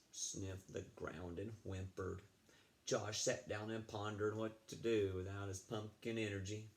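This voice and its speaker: Gravelly, lower-pitched man reading to children. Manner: animated, chatty, asks the young listeners questions. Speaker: children's read-aloud storyteller, school librarian. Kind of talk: expressive picture-book read-aloud with asides to children.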